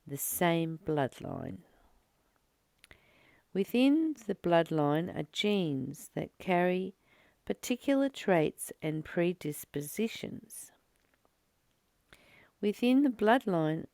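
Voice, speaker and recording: A woman reading a spiritual study text aloud and explaining it informally, in a halting, reflective way with pauses between short phrases. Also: on the low side, gravelly, mellow, no room sound, studio quality. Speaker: spiritual study reader and commentator. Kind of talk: slow read-aloud study with informal commentary.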